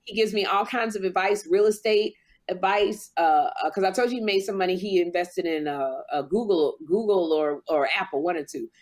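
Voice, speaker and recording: A woman chatting casually in a group, telling long drawn-out stories. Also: on the low side. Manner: storytelling, drawn-out phrasing.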